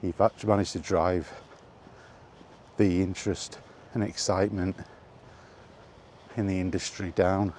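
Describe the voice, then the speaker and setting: A man's voice: low voice, flat delivery, measured pace, long pauses, a little mellow, slight background noise. A man rambling casually in a weary, grumbling way, as in a freewheeling solo vlog. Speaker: vlogger. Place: walking while talking, ambient noise behind.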